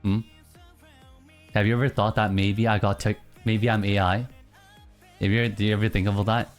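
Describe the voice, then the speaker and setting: A streamer in casual live-stream chatter, teasing chat and answering in mock outrage between low, mumbled musings. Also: flat delivery, normal speed, faint music behind. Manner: reacts to chat, teasing, mock-indignant bursts.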